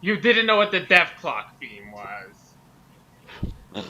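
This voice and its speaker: Very sharp, high-voiced man chatting. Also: very animated, extremely slow, dull audio. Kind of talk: casual chat.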